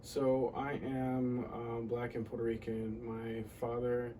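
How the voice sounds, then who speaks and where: Guy from the us on a podcast, thoughtful n halting, mellow flat-ish voice, slightly distant mic. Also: mid-range voice, a little hiss or hum. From United States. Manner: halting, thoughtful, pausing mid-sentence. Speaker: podcast guest. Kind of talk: casual reflective interview answers.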